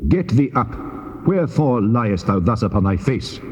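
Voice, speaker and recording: An actor playing a stern God, speaking with solemn, measured authority in an audio Bible drama. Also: male voice, medium pitch, very animated, a little mellow, close to an everyday voice. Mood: stern, reproachful. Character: God, stern male deity rebuking his servant. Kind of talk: dramatized scripture audio acting. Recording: long cavernous reverb.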